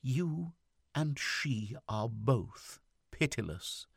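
A man's voice: mid-range voice, lively intonation, vocal fry, breathy edge, no room sound, clean studio sound, voice pushed up, full character voice. A man voicing a timid, wounded middle-aged mother, coaxing and tearful, in a story reading. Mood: pained reproach. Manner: coaxing, timid, slow and emphatic.

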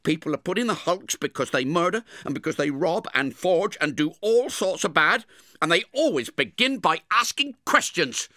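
A man plays a bad-tempered blacksmith's wife, scolding in a high, shrill, snappish voice. Her lines are loud and full of sharp complaint, recorded dry and close. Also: lively intonation, normal speed, dry recording.

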